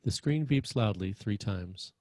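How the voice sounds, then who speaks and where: A man's voice: lowish voice, lively intonation, a little slow, darkish tone, dry recording, studio quality. A man narrating screenplay stage directions in a scripted read.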